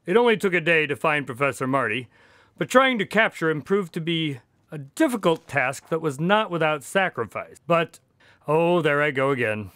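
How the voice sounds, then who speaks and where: Affable, wry male doctor sidekick, played by an actor: higher-pitched, very expressive, chatty radio-drama storyteller. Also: normal speed, no echo. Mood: genial storytelling. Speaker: narrator-character of a radio drama. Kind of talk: scripted radio drama narration and dialogue.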